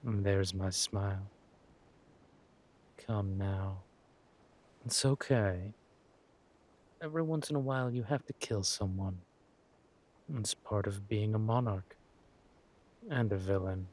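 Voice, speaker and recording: A man playing a suave, ruthless villain king in an audio roleplay, murmuring affectionately to his wife with halting, teasing hums. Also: fairly low pitch, a little mellow. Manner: slow, halting, purring hums and teasing drawl. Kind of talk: villain roleplay audio drama, intimate monologue to a partner.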